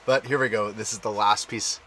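A man's voice: on the low side, fairly even intonation, a little fast, pushed and bright, a little hiss or hum, poor audio. A man in his thirties casually narrating a home-build vlog, explaining technical details in an upbeat way, filmed on a close handheld camera. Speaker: DIY home-build vlogger. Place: attic, outdoor deck and indoor rooms of a barn home. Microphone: handheld action camera close up.

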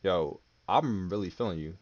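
A man's voice: sits low, expressive, normal speed, highs cut off, a crowd in the background. A man in his twenties from New Jersey, a streamer flirting playfully with strangers on video chat through a headset. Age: 20s. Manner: smooth-talking, playful, slangy. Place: small room, indoors.

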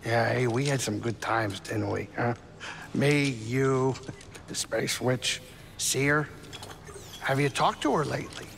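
A man playing a small, four-armed, hairy alien saloon owner in a video game, gruff but warm, with a blustery, fussing manner. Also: medium pitch, slow pace. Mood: fond, concerned. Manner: animated, fussing, excitable, blustery. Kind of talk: video game cutscene acting. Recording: long hall reverb, faint background noise.

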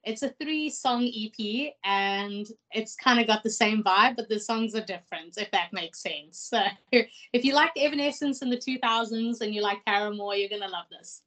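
A woman's voice: a little high, moderate pace, fairly bright tone, rough recording. A young woman from South Africa, a singer, chatting enthusiastically about her music in a video call interview. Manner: chatty, enthusiastic, many "like" fillers. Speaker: singer guest. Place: small room indoors. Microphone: video call, laptop mic.

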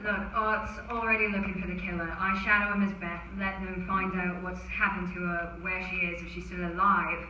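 An actress playing a tough, streetwise sister, blunt and determined. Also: female voice, on the low side, little pitch movement, fairly quick, few pauses. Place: cinema stage before an audience. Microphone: stage mic, captured from far in audience. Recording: distant echoey theatre, loud noise.

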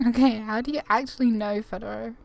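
A woman's voice: a little high, fairly even intonation, quick pace, gravelly, a little mellow. A woman giving casual commentary on her own game playthrough and reading dialogue choices aloud, easily amused. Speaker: gamer narrating own playthrough. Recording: music behind the voice.

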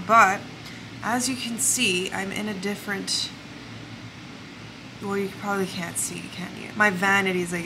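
A woman's voice: mid-range voice, background noise. A woman in her twenties with a congested voice from the flu, chatting casually to the camera at her vanity in her bedroom, full of "like" fillers. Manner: chatty, storytelling, lots of "like" fillers. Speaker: lifestyle vlogger. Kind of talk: casual vlog chat to camera. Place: home bedroom, indoors.

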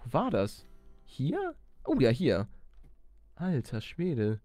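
A man doing excitable let's play commentary, exclamatory and slangy, talking to viewers and himself. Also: medium pitch, slow, no room sound, soft background music. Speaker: gaming YouTuber and let's player.

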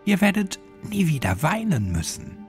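Guy doing a creepy manipulative little girl for a horror story, high voice, calm and bossy, music behind. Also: moderate pace. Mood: coaxing. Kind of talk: horror audio story character.

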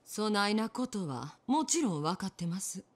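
An actress playing a poised, determined innkeeper with a Kyoto accent in a period game drama, genteel, polite and deliberate. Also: female voice, medium pitch, big pitch swings. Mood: defiant, resolute.

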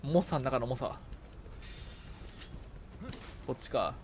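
A man's voice: medium pitch, lively intonation, fairly quick, noisy background. A man chatting casually and playfully as he streams a co-op video game. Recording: game music behind. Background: video game music.

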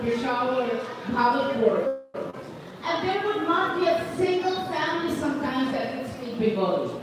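An older woman from Bangladesh giving an earnest speech as a guest speaker at a community event, into a handheld mic. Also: sits high. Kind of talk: public speech. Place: large indoor atrium at a community event. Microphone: handheld mic, filmed from a distant phone. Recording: echoey hall from far off, crowd noise.

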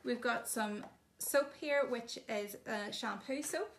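Gravelly-voiced woman demonstrating products. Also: on the high side, slow pace, a little hiss or hum. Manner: chatty, many ums, plain explaining. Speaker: shop presenter showing products.

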